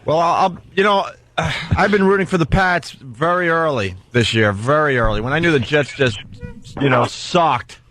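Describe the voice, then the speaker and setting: A man hosting a casual radio talk show, speaking loosely and haltingly into a close studio mic. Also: a little high, fairly bright tone.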